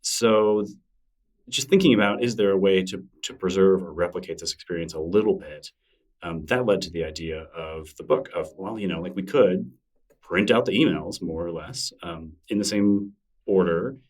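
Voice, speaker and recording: Low-voiced chatty man, a bit off-mic. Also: moderate pace, far from the mic. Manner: chatty, enthusiastic, laughs easily. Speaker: podcast guest, newsletter creator. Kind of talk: casual interview conversation.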